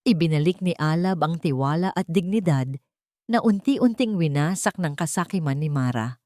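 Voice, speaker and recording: Female storyteller for audio drama, low and lively, dry booth sound. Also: dry recording. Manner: measured, dramatic pacing with expressive rises. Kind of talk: scripted dramatic narration.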